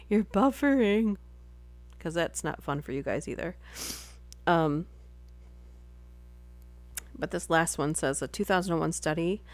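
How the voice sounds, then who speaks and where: A young adult woman streamer reading articles aloud, breaking off into chatty, giggly asides. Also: low-pitched. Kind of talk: casual live-stream reading and chat.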